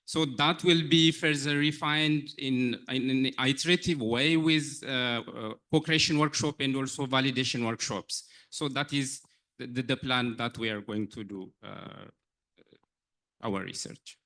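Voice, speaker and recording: A man answering questions after a research presentation, halting with many restarts and fillers. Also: medium pitch, sharp tone. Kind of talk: conference Q&A answer.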